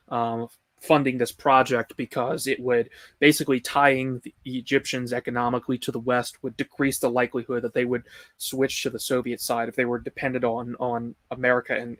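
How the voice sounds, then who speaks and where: Monotone man explaining in a dry room. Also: mid-range voice, dry recording. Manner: measured, explanatory, with fillers. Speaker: guest writer. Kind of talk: casual live-stream discussion.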